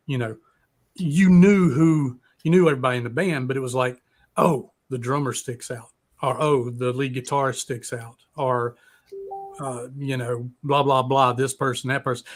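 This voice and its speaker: A male talk show host with a mid-pitched voice. He chats off the cuff, rambling through his thoughts with plenty of filler words and hesitations. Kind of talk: casual, unscripted chat.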